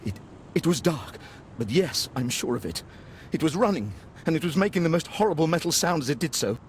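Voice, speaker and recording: An actor playing an earnest, upper-class Victorian club gentleman in a video game, British, hesitant and formal. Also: fairly high pitch, lively intonation, brisk, slight background noise, lightly acted. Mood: shaken, insistent. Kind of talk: scripted video game acting.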